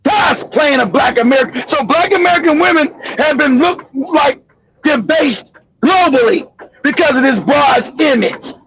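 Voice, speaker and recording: A man hosting a show, delivering a loud, profane, mocking commentary rant. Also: very high-pitched, expressive, measured pace, no echo, low-quality recording. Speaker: show host.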